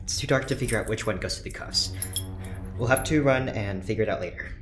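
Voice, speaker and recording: A woman's voice: extremely low pitch, quick pace. A woman playing an anxious but stubborn research doctor in an audio drama, earnest and pleading. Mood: urgent. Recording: echoing room, music behind.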